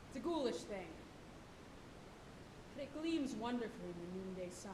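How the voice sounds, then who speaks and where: A woman playing a stern, cautious whaling first mate, with formal, theatrical delivery on stage. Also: close to an everyday voice. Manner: formal, commanding, rebuking. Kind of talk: theatrical stage acting, Shakespearean diction. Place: college auditorium stage, live performance. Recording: echoey theatre stage, rumbling background noise.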